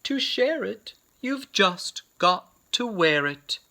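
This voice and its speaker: A man voicing a huge, gentle, wise female whale from a storybook, speaking in slow, drawn-out words. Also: high-pitched, big pitch swings, creaky, voice pushed up, heavily acted. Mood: gentle, encouraging.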